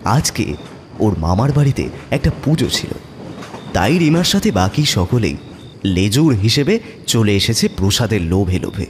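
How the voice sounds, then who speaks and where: A man's voice: fairly low pitch, big pitch swings, unhurried, a little hiss or hum. A man narrating a scripted horror story as a dramatic storyteller.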